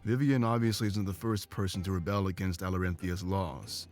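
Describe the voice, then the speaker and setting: A man's voice: sits low, fairly quick, darkish tone, faint music behind, close to an everyday voice. A man playing a guarded but loyal adventurer in a visual novel, talking earnestly and plainly. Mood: reluctant, matter-of-fact. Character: guarded, loyal male adventurer, plain-spoken travel companion. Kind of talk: scripted visual novel dialogue.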